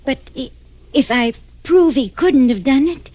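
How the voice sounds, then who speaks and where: An actress playing an anxious mother who pleads haltingly to protect her fugitive grown son, in 1940s radio drama style. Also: high-pitched, lively intonation, dry recording. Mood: anxious pleading. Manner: halting, distressed, earnest pleading. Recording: dull lo-fi vintage radio recording.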